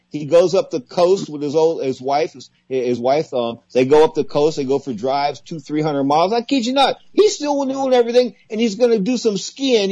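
A man in his sixties hosts a boxing talk radio show, gushing and sentimental, doubling back on his own phrases. His voice sits a little high. The sound is dry and a bit muffled. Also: mellow, no room sound. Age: 60s. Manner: effusive and sentimental, repeats phrases.

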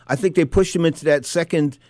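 A man's voice: a little high, quick pace, a little mellow, no echo, studio quality. A man hosting an interview, talking in a loose, slangy, chatty way. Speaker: interview host. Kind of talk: casual interview talk.

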